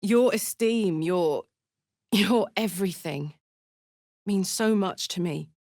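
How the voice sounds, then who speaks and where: A woman's voice: mid-range voice, takes it slowly, darkish tone, no echo, studio quality. A woman voicing a brash, hot-blooded, big-hearted warrior woman in a video game, with an earthy, blunt, sweary and emotionally open manner. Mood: tender, earnest. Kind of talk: video game character voice lines.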